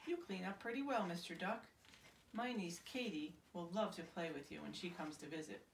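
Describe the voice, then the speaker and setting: A woman's voice: on the low side, moderate pace, vocal fry. A woman voicing a kindly middle-aged male builder in a children's storybook, with folksy, surprised exclamations. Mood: amazed. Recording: roomy, distant mic, faint hiss.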